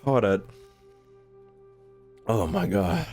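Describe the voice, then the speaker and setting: A young man with an American accent commentates on a game in a brash, slangy, joking style. His voice sits at an average pitch with faint music behind it. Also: very animated, extremely slow, vocal fry, a little mellow. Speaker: gaming streamer. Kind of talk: casual live game commentary.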